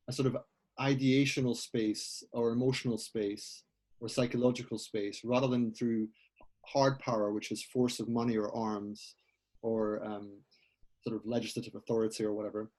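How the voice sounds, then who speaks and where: A man's voice: mid-range voice, a little mellow. Man asking tentative, exploratory questions. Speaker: host. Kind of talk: conversational interview.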